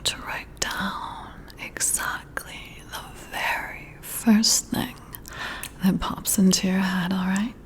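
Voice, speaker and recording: A woman performs a whispered ASMR role-play, right up at the listener's ear. Her voice is a breathy, airy whisper, and she speaks extremely slowly. She guides the listener with gentle, soothing, deliberate instructions. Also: medium pitch, little pitch movement. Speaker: ASMR creator role-playing a boss giving a personality test. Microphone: close-up ear-to-ear microphone.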